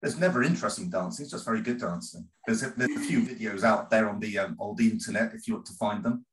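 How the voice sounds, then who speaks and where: A man being interviewed as a guest on a podcast, talking in a relaxed, rambling, good-humored way. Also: fairly low pitch, quick pace. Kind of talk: casual interview chat.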